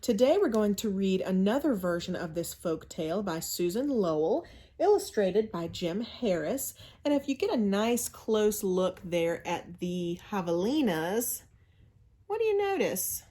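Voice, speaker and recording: A woman reading a children's story aloud in an expressive, teacherly way, pausing for asides and questions to young listeners. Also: mid-range voice. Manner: expressive, teacherly, often asks rhetorical questions.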